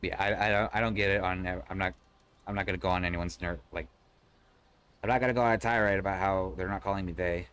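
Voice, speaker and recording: A man's voice: deep voice, fairly even intonation, fast talker, a bit bright, smooth. A man live-streaming, chatting casually with his viewers and answering their messages in a loose, rambling way. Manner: conversational and rambling, frequent restarts, swears casually.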